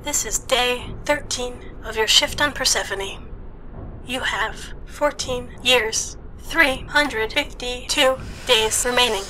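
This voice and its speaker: A woman voicing a calm, polite female spaceship computer in an audio drama. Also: on the high side, slow, slight background noise, low-quality recording. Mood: formal. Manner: polite, even, formal.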